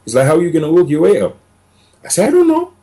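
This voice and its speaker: A man's voice: on the high side, huge pitch swings, fast, warm tone, rough recording. A man, telling his story as a podcast guest in an earnest way, often repeating himself. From Senegal. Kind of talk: casual storytelling interview.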